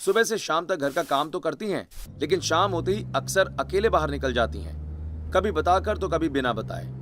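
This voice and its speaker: A man's voice: on the high side, lively intonation, normal speed, fairly bright tone, a little hiss or hum. A man reading scripted narration for a cartoon family story. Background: background music.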